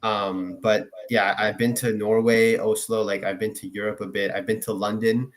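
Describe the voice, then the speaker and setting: A man's voice: fairly low pitch, level tone, normal speed. A young man from Finland, a guest in a casual chat, rambling with many fillers and swearing. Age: young adult.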